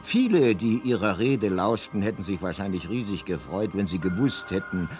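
Radio drama narrator: urbane male guidebook voice, fairly deep, deadpan and measured, clear music bed. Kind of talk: scripted radio play narration.

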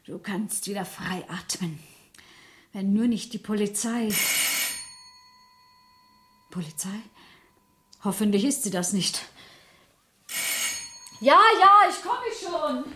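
A woman's voice: medium pitch, expressive, slow pace, long pauses, pushed and bright, slight background noise. An actress playing a nervy, cold-blooded woman who talks to herself theatrically in a 1970s German radio play. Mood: nervous, relieved. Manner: theatrical, talking to herself in exclamations. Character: Françoise, cold-blooded nervous young Parisian blackmail victim turned killer.

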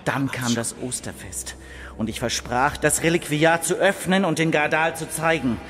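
Man acting a wretched high-voiced old swindler. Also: normal speed, a bit bright, soft background music, natural voice. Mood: aggrieved. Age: older. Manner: plaintive storytelling. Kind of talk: German radio drama monologue.

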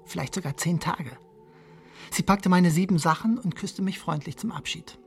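An actor playing a jealous, childish husband in a radio drama, confessing his schemes in a measured first-person monologue. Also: sits high, husky, soft background music, exaggerated voice. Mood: furtive, confessional.

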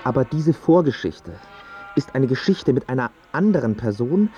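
A man's voice: mid-range voice, very animated, normal speed, mellow, dull audio, soft background music, close to an everyday voice. An actor playing a stubborn, self-absorbed young male artist in a German radio play, argumentative and pretentious in his phrasing. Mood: detached, evasive.

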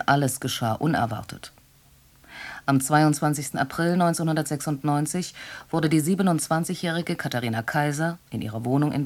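A performer of unclear sex playing a sober narrator who recounts a murder case in a measured, factual, report-like way. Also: medium pitch, darkish tone, natural voice. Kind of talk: scripted radio play narration, report-like.

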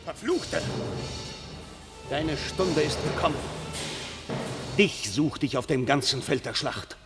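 A man's voice: a little high, lively intonation, takes it slowly, over music. A man playing a proud noble duke, declaiming verse with furious force in a classic radio play. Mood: wrathful. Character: proud noble male duke, wrathful warrior.

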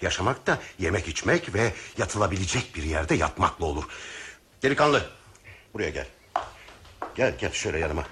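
A man's voice: deep voice, big pitch swings, moderate pace, pauses often, sharp tone, a little hiss or hum, exaggerated voice. An actor playing a cynical, mocking, fatalistic male train passenger in a radio play, with a sardonic, philosophising manner. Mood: grim, resigned. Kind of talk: radio theatre acting.

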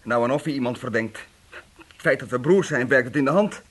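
A man's voice: mid-range voice, a little fast, breathy edge, natural voice. An actor playing a serious, troubled policeman in a radio drama.

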